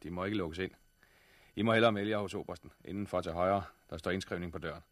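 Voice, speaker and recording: An actor playing a stern gate guard under orders in a 1960s radio drama, curt and matter-of-fact. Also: male voice, deep voice, steady tone, no echo, lightly acted. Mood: curt, businesslike.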